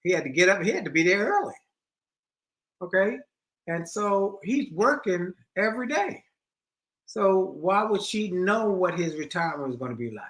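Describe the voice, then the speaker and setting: A middle-aged man co-hosting a marriage advice show, retelling a listener's letter in an animated way and often repeating himself. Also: sits high, normal speed. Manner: animated storytelling, repeats phrases.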